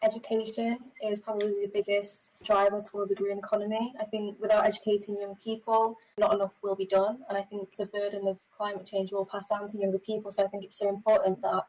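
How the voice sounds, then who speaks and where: A woman's voice: mid-range voice, level tone, fast talker, darkish tone, slightly rough, rough recording. A young adult woman giving her opinion in a panel setting.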